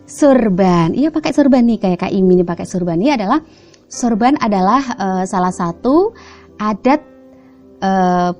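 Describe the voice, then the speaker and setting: A woman's voice: medium pitch, lively intonation, moderate pace, a little mellow, faint music behind. A woman with an Indonesian accent telling stories to children in a playful, coaxing way, often prompting them with questions. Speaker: children's storyteller. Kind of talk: animated storytelling addressed to children.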